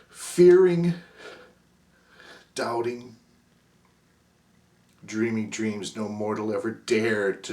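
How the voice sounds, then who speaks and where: A man giving a dramatic, expressive poetry recitation, swinging between hushed near-whispers and agitated outbursts. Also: medium pitch.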